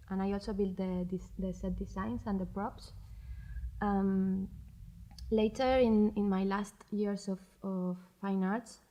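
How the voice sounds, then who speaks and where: Interviewee: Spanish-accented woman, unhurried and hesitant, relaxed mellow tone, reflective delivery. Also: mid-range voice. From Spain. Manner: hesitant, searching for words. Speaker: interviewee, animation director and animator.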